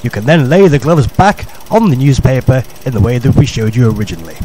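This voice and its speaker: A man's voice: medium pitch, lively intonation, fast, warm tone, a little hiss or hum, poor audio. A man narrating a parody vintage public information film in a formal, jolly, old-fashioned instructor's manner.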